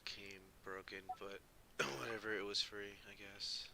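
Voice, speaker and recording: A man's voice: lowish voice, little pitch movement, a little slow. A man doing casual live game commentary as a streamer, slangy and profane, with a grumbling, sardonic manner. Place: room at home with an open window. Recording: clearly noisy room recording. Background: background noise.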